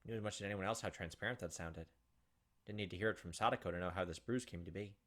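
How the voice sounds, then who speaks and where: A man's voice: deep voice, quick pace, fairly bright tone. A man hosting a let's play, reading game text aloud dramatically with casual asides. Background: game music.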